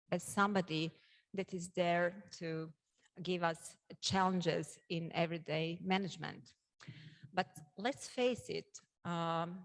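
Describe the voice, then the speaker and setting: A woman with a Croatian accent moderating a conference session and addressing the audience, speaking hesitantly with frequent pauses. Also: mid-range voice.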